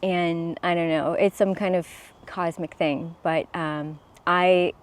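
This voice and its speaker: Woman, young adult, slightly low pitch; sincere, encouraging to-camera coaching talk. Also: steady tone, slow. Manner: earnest and encouraging, with restarts. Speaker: self-expression coach.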